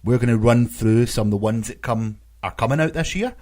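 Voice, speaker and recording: A man's voice: medium pitch, a little fast, a little mellow. A man hosting a podcast, chatting casually about games. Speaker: podcast host.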